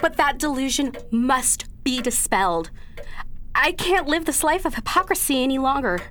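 A woman playing a dutiful, anguished young daughter in a period radio drama, speaking earnestly and haltingly in formal old-fashioned phrases. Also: sits high, lively intonation, fairly bright tone, slight background noise. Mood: distraught.

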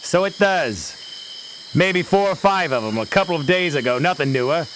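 A man plays a wary, defiant sea captain in a pirate radio play. He is gruff and commanding, fairly high in pitch with big swings, over clear noise. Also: animated, normal speed, a bit bright, poor audio. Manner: gruff, commanding, old nautical speech. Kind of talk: pirate radio drama acting.

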